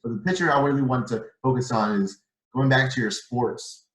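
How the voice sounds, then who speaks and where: A man's voice: medium pitch, normal speed, fairly bright tone, roomy sound, poor audio. A young man hosting an online interview, asking casual, rambling questions with frequent hesitations. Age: young adult. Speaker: interviewer host.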